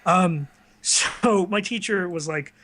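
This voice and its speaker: A man's voice: sits high, takes it slowly, pushed and bright, slight background noise. A young man chatting casually as a guest in an interview. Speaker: guest, video creator. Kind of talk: casual chat.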